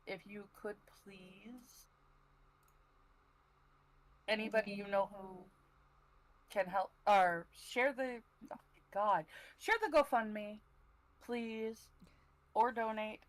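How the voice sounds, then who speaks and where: Female panelist, unhurried and scattered, mid-range voice, informal livestream chat. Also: mid-range voice, fairly even intonation, no echo, rough recording. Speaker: panelist on a talk show.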